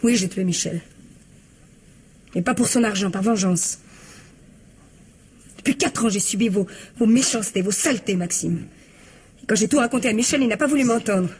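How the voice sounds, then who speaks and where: An actress playing a bitter, vengeful wife accused of murder in a French radio crime drama, husky-voiced and defiant. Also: female voice, mid-range voice, normal speed, long pauses, a little mellow. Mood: bitter confession. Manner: indignant, defiant. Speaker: actress in an audio mystery play.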